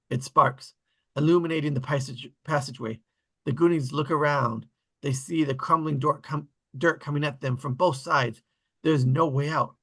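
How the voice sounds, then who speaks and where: Bright, husky man reading stage directions. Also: medium pitch. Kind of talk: scripted narration at table read.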